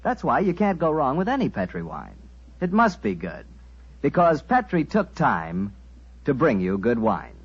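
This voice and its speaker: A man's voice: mid-range voice, huge pitch swings, moderate pace, slightly rough. An American man announcing in 1940s radio style, with folksy, upbeat sales patter. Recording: muffled 1940s radio broadcast recording.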